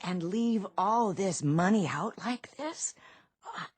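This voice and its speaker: Actress as a slow-spoken, cautious wife. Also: female voice, medium pitch, lively intonation, breathy edge, soft background music. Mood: reluctant, amused. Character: cautious, homely wife of a poor shopkeeper. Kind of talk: radio drama acting.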